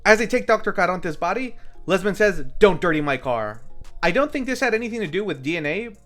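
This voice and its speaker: Guy doing a jokey movie recap, kinda high voice, really lively up and down, music behind. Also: fast. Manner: wry, joking asides. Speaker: film reviewer, video host. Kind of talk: scripted comedic movie recap narration. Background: background music.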